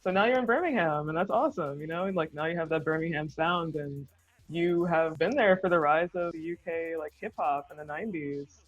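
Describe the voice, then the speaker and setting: A woman's voice: low-pitched, a little fast, no echo, soft background music. Enthusiastic woman host, dull dry audio. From United States. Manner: warmly affirming, enthusiastic. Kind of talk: casual interview.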